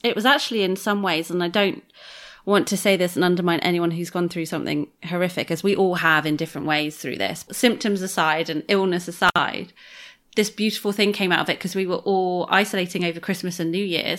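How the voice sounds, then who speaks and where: A woman's voice: fairly low pitch, fairly even intonation, fairly quick, fairly bright tone. A woman reflecting earnestly on her own experiences in a casual chat over a video call.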